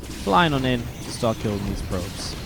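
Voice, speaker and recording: A man commenting play by play on a strategy video game match, a little sniffly from a cold, steady and then more excited in the fights. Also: mid-range voice, measured pace, background noise. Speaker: esports caster.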